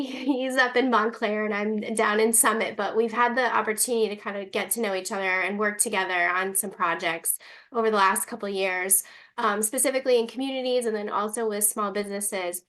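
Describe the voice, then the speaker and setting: A woman's voice: medium pitch, fairly quick, fairly bright tone. A woman hosting a webinar on a video call, chatty and loose as she introduces the guest speaker. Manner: chatty, many ums and likes. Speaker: webinar host.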